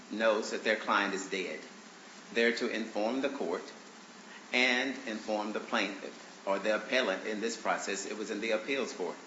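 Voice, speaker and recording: A man arguing his own motions before a judge in a courtroom, speaking haltingly with many fillers and restarts, his voice congested from allergies. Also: fairly low pitch, bright, forceful tone. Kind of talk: courtroom argument. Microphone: courtroom microphone on a stand. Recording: roomy, dull courtroom recording with faint hiss.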